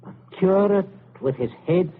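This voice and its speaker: A man's voice: sits high, a little slow. An actor playing a controlling, scheming middle-aged English father in an old radio suspense drama. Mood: urgent, calculating. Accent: British. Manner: nervously stammering, falsely jovial, then menacing. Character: controlling, scheming upper-class English father covering up a killing. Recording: scratchy, tinny old radio recording.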